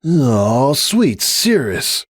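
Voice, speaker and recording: Guy putting on a sore young pegasus sports dude, cartoony, higher pitch, wheezy n grumbly, dramatic, bouncy intonation. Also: big pitch swings, extremely slow, breathy edge, dry recording, studio quality, exaggerated voice. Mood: indignant. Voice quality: strained, wheezy.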